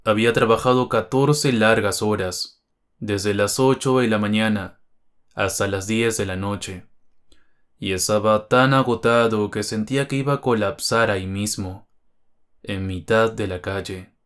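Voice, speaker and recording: A man's voice: fairly low pitch, measured pace, a bit bright, clear, resonant tone. A man reading a scripted first-person horror story as its narrator.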